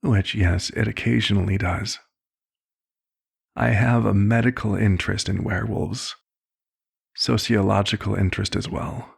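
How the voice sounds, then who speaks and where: Casting: male actor as aged vampire physician for ASMR roleplay; kindly, formal, fatherly, heavy vocal fry, even intonation. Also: lowish voice, full character voice. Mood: reflective, somber. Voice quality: husky, gravelly. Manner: measured, slightly formal, old-fashioned phrasing. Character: old, compassionate male vampire doctor, gently paternal and measured.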